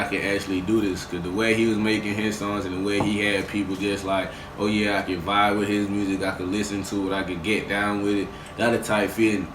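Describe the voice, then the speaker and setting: A man's voice: on the low side, far from the mic, noisy background. A young man, a rapper, talking casually in an interview with loose, profane street slang. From grew up in the Bahamas, born in Atlanta. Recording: echoey room with music behind. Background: hip hop beat.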